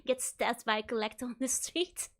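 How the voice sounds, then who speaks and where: A young woman streaming a game, hoarse, giggling and rambling playfully as she chats. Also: high voice. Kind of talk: casual game stream chat.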